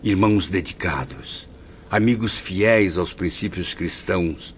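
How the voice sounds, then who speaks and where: An actor playing a humble, repentant spirit in a radio drama, earnest and wistful, with a Brazilian accent. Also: male voice, deep voice, a little slow. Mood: anxious, grateful. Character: anxious, humble male spirit of a repentant suicide. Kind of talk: scripted radio drama acting. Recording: muffled audio with faint noise.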